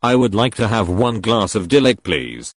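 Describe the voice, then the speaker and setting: A man's voice: lowish voice, sing-song, normal speed, noisy background. A man giving casual, playful gameplay commentary in a gushing, theatrical manner. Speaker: game streamer. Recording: game music behind the voice.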